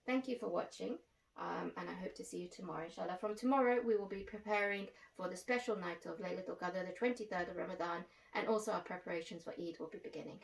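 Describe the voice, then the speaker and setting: A woman's voice: fairly low pitch, quick pace, creaky. A woman hosting a religious lesson for children, explaining things simply, step by step. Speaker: host and teacher of a children's religious programme. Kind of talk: instructional talk addressed to children. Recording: roomy echo, distant microphone.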